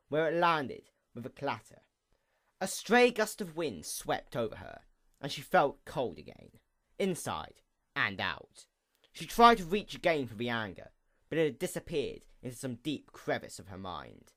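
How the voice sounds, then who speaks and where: Male story narrator, mid-range pitch, solemn and expressive, very lively intonation. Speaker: audiobook-style fan fiction narrator. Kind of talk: dramatic scripted story narration.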